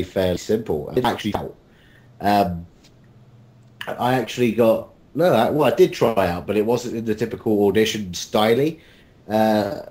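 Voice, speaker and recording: A man chatting casually as a voice actor guest, with halting, hesitant phrasing. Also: on the low side, mellow. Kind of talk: casual chat.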